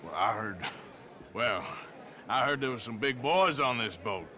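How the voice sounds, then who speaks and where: An actor playing a menacing yet wry American outlaw gunslinger in a video game, with a dry drawl. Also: male voice, mid-range voice, expressive, a little slow, pushed and bright. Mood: smug, taunting. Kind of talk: cinematic video game acting. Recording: music behind the voice.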